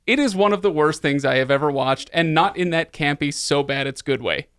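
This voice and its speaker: A man's voice: a little high, lively intonation, a little fast, fairly bright tone, clear, resonant tone, no room sound, studio quality. A man hosting a podcast in casual chat, with jokey, tongue-in-cheek banter. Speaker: podcast host.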